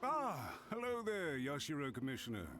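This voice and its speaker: Animated, deferential clan retainer. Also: male voice, a little high, normal speed, over music. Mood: polite, busy. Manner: formal, obliging, respectful. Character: deferential, eager male clan retainer busy with wedding duties. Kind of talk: anime game voice acting.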